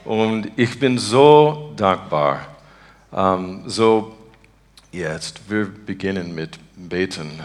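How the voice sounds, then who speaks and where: Halting American preacher, slow, low-voiced man. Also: lively intonation. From Texas, United States. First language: English. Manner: halting, searching for words in broken beginner German; earnest, devout. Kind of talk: informal sermon and spontaneous prayer.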